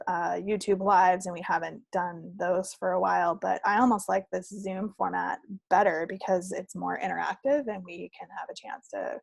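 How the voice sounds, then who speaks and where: Husky woman chatting with a creaky edge. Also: lowish voice, steady tone, normal speed, hardly any pauses, no room sound. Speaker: co-host of a knitting and crafts podcast. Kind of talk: casual unscripted podcast chat.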